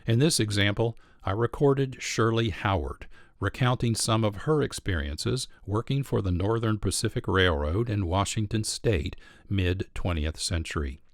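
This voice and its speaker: Low-voiced American male radio host. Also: moderate pace, dry recording, clean studio sound. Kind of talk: scripted narration.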